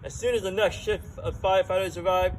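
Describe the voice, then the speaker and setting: A man's voice: sits high, steady tone, a little fast, a little hiss or hum. A man narrating a children's story, reading it aloud. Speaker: firefighter reading a children's book.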